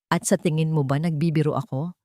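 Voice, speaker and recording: Earnest determined man acted by a woman, low pitch, serious, pleading, insistent, dry close recording, audio romance drama. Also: steady tone, a little fast, warm tone, dry recording, natural voice. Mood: serious, persuasive. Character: earnest, determined young man proposing a marriage of convenience.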